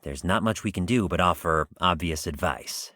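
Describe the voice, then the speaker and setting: A woman voicing a weary, gruff male police captain in a game, grumbling and matter-of-fact. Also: extremely low pitch, moderate pace, a bit bright, no echo, studio quality, voice pushed down. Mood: reassuring, firm.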